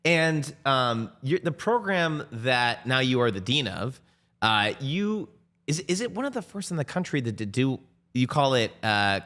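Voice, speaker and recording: A man's voice: mid-range voice, big pitch swings, a little slow, sharp tone. Eager male podcast host. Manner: eager, hesitant, repeats words. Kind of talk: conversational podcast interview.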